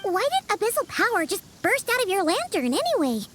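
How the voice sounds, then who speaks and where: Cast a chirpy little fairy companion girl: very high, bouncy lilt, game music under her. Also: wildly swooping pitch, a bit bright, heavily acted. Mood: worried, eager. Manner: chatty, casual, quick to worry. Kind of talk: video game cutscene dialogue.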